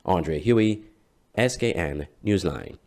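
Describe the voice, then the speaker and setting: Low-voiced man reading news. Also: animated, slow pace. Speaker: news reporter. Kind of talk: scripted news narration.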